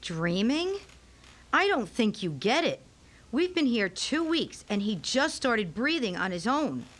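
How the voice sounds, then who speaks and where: An actress playing an anxious, weary, gentle mother of a premature newborn in a radio play, speaking earnestly and hesitantly. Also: a little high, sing-song, a little slow. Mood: worried, stressed.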